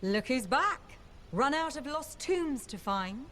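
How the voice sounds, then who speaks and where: Lively, blunt barkeep. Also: female voice, high-pitched, takes it slowly, slight background noise, exaggerated voice. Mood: wry. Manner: wry, blunt. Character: wry shrewd tough female bartender and dealer. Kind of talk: video game character dialogue.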